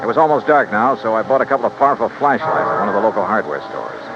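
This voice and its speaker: A man's voice: on the low side, animated, quick pace, very noisy. An American man playing a tough, matter-of-fact insurance investigator who narrates his case in old-time radio drama style. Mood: wry narration. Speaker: radio detective lead and narrator. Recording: dull vintage radio recording with music.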